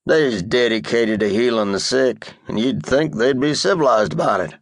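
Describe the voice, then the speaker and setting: A man's voice: mid-range voice, moderate pace, no echo, close to an everyday voice. A man voicing a foul-mouthed, cantankerous old cowboy with a blustering drawl in an audiobook reading. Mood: grumbling.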